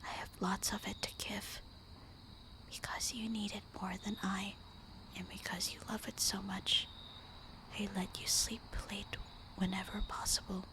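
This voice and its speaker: A woman voicing a tender, melancholy immortal vampire wife in a breathy, intimate whispered ASMR roleplay. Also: mid-range voice, little pitch movement, lightly acted. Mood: doting. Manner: slow, intimate, wistful murmuring.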